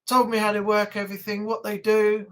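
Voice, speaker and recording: A woman's voice: medium pitch, completely flat delivery, fairly quick, a bit bright. A woman hosting a casual weekly livestream chat, talking to viewers in a chatty, rambling way. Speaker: livestream host.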